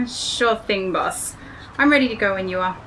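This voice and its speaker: A woman's voice: medium pitch, unhurried, a little hiss or hum, natural voice, low-quality recording. A woman playing a self-assured, blunt pilot and bomb tinkerer with a wry manner in an audio drama. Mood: cocky, wry. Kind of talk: scripted audio drama acting.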